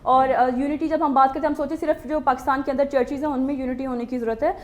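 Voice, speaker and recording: A woman's voice: high voice, fairly even intonation, rapid-fire. A woman with a slightly strained throat, earnestly answering interview questions with English words mixed in. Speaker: talk show guest, charity worker.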